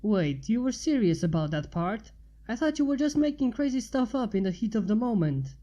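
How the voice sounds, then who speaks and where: A woman's voice: a little high, big pitch swings, moderate pace, warm tone, dull audio. A woman voicing a blunt, teasing, skeptical female friend in a story reading. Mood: sarcastic. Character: sarcastic, skeptical woman friend, easily alarmed.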